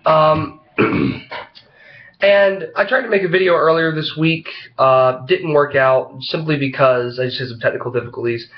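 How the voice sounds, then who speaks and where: A man with a head cold and a slightly froggy voice, vlogging casually to camera in a halting, rambling way full of fillers. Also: on the high side, highs cut off. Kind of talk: casual opinion vlog talking to camera.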